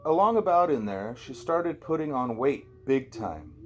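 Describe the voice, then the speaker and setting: A man's voice: mid-range voice, sing-song, moderate pace, soft background music. A man narrating a first-person novel as an amateur audiobook reader, in a conversational, wry storytelling way.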